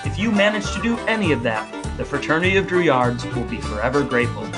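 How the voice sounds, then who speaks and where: A man's voice: mid-range voice, animated, fast talker, over music. A man hosting a podcast in a casual, conversational style. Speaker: podcast host.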